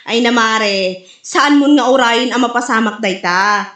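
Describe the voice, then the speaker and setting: A woman's voice: fairly high pitch, unhurried, a bit bright. A woman acting a scolding, gossipy friend with an Ilocano Filipino accent in a comedy drama. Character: scolding, gossipy female friend, exasperated and nagging. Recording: roomy echo, faint music.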